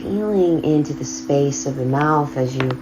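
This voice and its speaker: A woman guiding a body scan meditation, speaking softly with long, drawn-out pauses. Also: low voice. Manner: slow, soft, drawn-out phrasing with long pauses. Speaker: meditation guide. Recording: slightly dull lo-fi sound over loud singing bowl music. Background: crystal singing bowls and flowing river.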